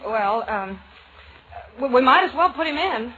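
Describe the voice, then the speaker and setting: High-voiced, flustered housewife, very lively. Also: female voice, unhurried, full character voice. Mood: nervous, bluffing. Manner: stammering, nervous hesitations. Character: flustered young woman bluffing as a housewife mother. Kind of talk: 1940s radio comedy acting. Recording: muffled, noisy old radio broadcast.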